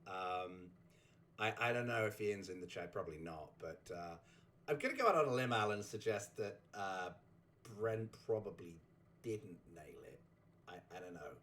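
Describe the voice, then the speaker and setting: A man with a fairly low voice hosts a relaxed recap chat. He rambles and chuckles, often breaking off to restart a sentence. His voice is just getting over a sore throat. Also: moderate pace. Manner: rambling, chuckling, self-interrupting. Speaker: host. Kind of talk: casual chat recapping a game community.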